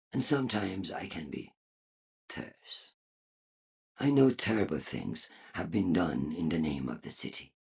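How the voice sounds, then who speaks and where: A woman playing a stern robot faction leader in a game, speaking formally and deliberately in a husky, gravelly voice. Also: very deep voice, a little mellow, full character voice. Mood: coolly apologetic. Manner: deliberate, formal, coolly persuasive. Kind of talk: dramatic game monologue.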